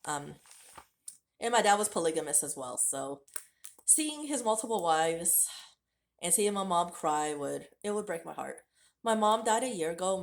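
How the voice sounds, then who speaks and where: Lively, low-voiced woman vlogger. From United States. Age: late 30s. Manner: candid and conversational, direct address to viewers. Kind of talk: personal storytelling to camera.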